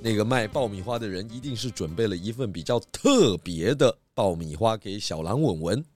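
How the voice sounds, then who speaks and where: Low-voiced, methodical detective inspector. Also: male voice, lively intonation, darkish tone, faint music behind. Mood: methodical, probing. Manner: measured, patient, leading with questions. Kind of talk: children's audio drama acting.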